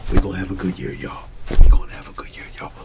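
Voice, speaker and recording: A man doing a soft-spoken ASMR roleplay as an easygoing, quirky schoolteacher, often dropping into a whisper and repeating short, folksy, reassuring phrases. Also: quick pace. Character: quirky, easygoing male schoolteacher addressing his class. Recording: clearly audible background noise.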